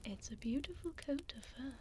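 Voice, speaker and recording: A woman playing a gentle, refined vampire duchess in an ASMR roleplay, speaking in a soothing, elegant, breathy whisper close to a binaural microphone. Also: sits high, expressive, slight background noise. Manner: soothing, slow, elegant, tender.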